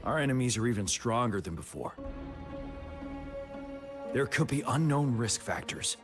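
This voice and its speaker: An actor playing a grave, measured military commander in a video game, speaking solemnly and deliberately. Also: male voice, medium pitch, expressive, over music, natural voice. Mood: grave, resolute. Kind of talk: dramatic scripted game dialogue.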